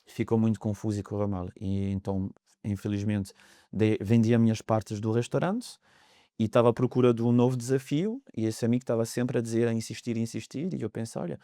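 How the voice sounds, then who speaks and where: A man's voice: on the low side, steady tone, fairly quick, mellow, no echo, clean studio sound. A man in his thirties from France with a French accent, a guest on a podcast interview, talking in a hesitant way with many fillers. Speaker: mortgage broker guest.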